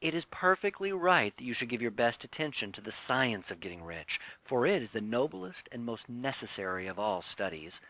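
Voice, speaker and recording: A man reading a self-help audiobook as scripted narration, with deliberate, emphatic, declarative phrasing. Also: medium pitch, very animated, sharp tone, low-quality recording. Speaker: audiobook reader.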